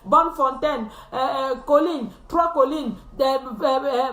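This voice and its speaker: High-voiced woman ranting with laughter. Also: slow, a bit bright. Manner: teasing, laughs often, repeats phrases. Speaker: live stream host. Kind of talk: mocking live-stream rant. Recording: echoey room.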